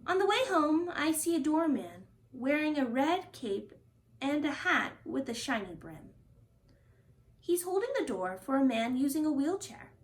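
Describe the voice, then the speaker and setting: Female storytime reader, high register, lively swings in pitch, expressive phrasing aimed at young children. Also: unhurried, a little hiss or hum. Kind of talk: children's picture book read-aloud.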